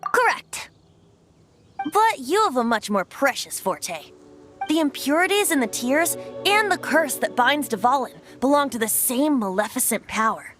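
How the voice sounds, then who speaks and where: A woman's voice: high-pitched, very animated, a little slow, a bit bright, faint music behind, full character voice. A woman voicing a youthful, boyish male bard god in a video game, playful, airy and theatrical. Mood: earnest.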